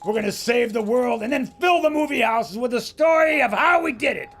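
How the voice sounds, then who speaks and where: A man playing a brash, excitable filmmaker adventurer with a showman's bluster. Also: very high voice, a little fast, exaggerated voice. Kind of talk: radio play acting.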